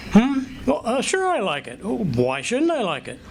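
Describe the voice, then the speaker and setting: A man acts out a folksy, blustering husband from an old radio comedy. His delivery is excitable and swoops widely as he stammers his way around questions. It sounds like a live stage show with clear background noise. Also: high-pitched, normal speed, exaggerated voice. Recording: noisy live stage performance recording.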